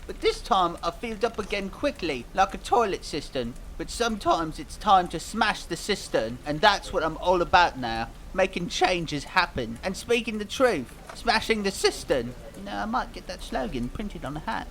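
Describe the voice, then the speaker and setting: A man's voice: sits high, very animated, moderate pace, few pauses, fairly bright tone, slight background noise, heavily acted. A man voicing a dim, earnest cartoon pop singer, rambling vaguely through a mock interview. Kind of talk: mock interview fan dub.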